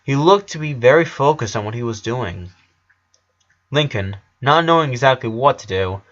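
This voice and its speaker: A man's voice: mid-range voice, fairly bright tone, dull audio. A man reading scripted story narration as the narrator.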